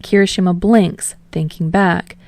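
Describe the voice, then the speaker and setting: A woman's voice: fairly low pitch, fairly even intonation, unhurried, gravelly, a little mellow, no echo. A woman reading a story aloud as scripted audiobook narration. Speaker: audiobook reader of fan fiction.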